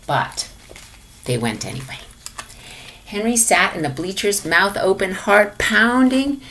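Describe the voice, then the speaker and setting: A woman reading a children's picture book aloud in an expressive way, as if to young listeners in a lesson. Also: on the high side. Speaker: storybook reader for a lesson.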